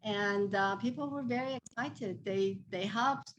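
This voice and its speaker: A woman's voice: mid-range voice, a little slow, slight background noise, poor audio. Earnest woman dance theatre founder. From Armenian heritage, raised in an Islamic country, moved to Canada as a teenager. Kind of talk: interview storytelling.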